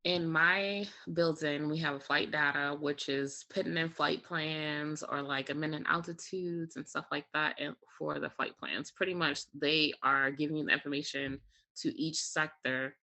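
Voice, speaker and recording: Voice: medium pitch, steady tone, moderate pace, sharp tone. An air traffic controller talking casually as an interview guest, explaining things step by step with examples. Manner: explains step by step with examples, frequent "you know" and "like".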